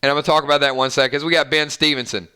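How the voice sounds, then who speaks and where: A man with a bright, fairly high voice hosts a relaxed live stream. He chats with his viewers, reacts to their comments and rambles along with plenty of fillers. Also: very fast, smooth. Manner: chatty, upbeat, lots of fillers and restarts. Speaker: host of a fan live stream.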